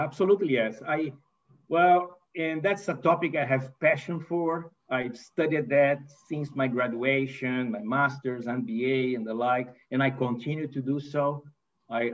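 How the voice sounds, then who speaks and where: A man's voice: medium pitch, steady tone, moderate pace, distant mic, highs cut off. A man in his fifties from Brazil with a Brazilian Portuguese accent, a non-native English speaker and manufacturing executive, answering interview questions hesitantly with many fillers and restarts.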